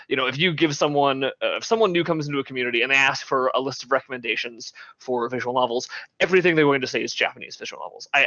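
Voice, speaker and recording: A man's voice: medium pitch, bright, forceful tone, no echo. A man on a podcast panel, talking casually and explaining his opinions at length, on slightly dull lo-fi audio.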